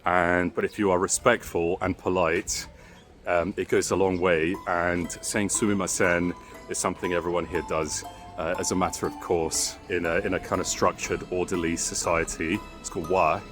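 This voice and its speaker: A man whose native language is Bosnian talks his way through a travel vlog, strolling outdoors through a quiet park. His voice sits low, and he leans on wordy sightseeing flourishes. Music runs clearly beneath him. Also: little pitch movement, moderate pace. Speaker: travel vlogger. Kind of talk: travel vlog commentary while walking.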